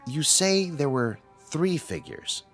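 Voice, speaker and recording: An actor in a radio drama playing a patient psychiatrist who explains and probes with measured, calm authority. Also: male voice, on the high side, full character voice. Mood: patient, probing. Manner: measured, didactic, probing. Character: patient, reasoning male psychiatrist, calmly authoritative. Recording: radio drama with music underneath.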